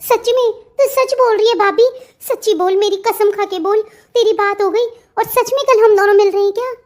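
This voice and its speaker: A woman's voice: very high voice, steady tone, normal speed, a little mellow. A woman voicing a restless, lovesick young married woman in a melodramatic cartoon, pleading and eager. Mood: excited, eager. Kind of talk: melodramatic family cartoon dialogue.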